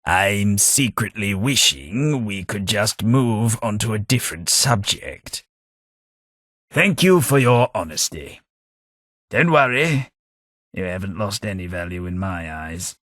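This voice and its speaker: An actor playing a polite, wry British ghoul servant, centuries old, in a video game, with a husky voice. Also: male voice, fairly low pitch, expressive, slow, fairly bright tone, dry recording, studio quality, full character voice. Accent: British English.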